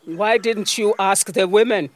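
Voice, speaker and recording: A woman's voice: mid-range voice, measured pace, close to an everyday voice. A woman playing an ancient talking olive tree in an audio drama, a stern, motherly spirit with a Nigerian accent and a grand, reproachful way of talking. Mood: accusing.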